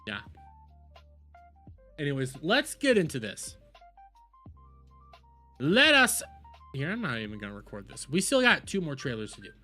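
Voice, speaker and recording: A man's voice: on the high side, huge pitch swings, a little slow, long pauses, pushed and bright, faint music behind. A man giving casual, rambling livestream commentary and reactions in a blunt, emphatic, profane way.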